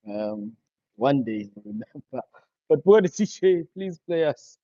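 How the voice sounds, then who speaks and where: A man hosting a live online music show, upbeat, giving shout-outs and introducing the next songs. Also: mid-range voice, animated, unhurried, no room sound, muffled recording. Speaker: show host.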